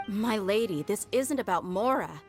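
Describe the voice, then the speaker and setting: A woman's voice: mid-range voice, lively intonation, moderate pace, faint music behind, natural voice. An actress playing a tough, principled woman mercenary bodyguard in a game, blunt and casual in manner. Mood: firm. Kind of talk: game voice acting.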